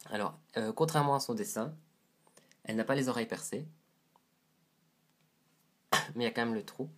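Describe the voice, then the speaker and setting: A man's voice: mid-range voice, normal speed. A man with a head cold and a stuffy nose, reviewing a doll to camera in a casual, hesitant way, describing details as he handles it.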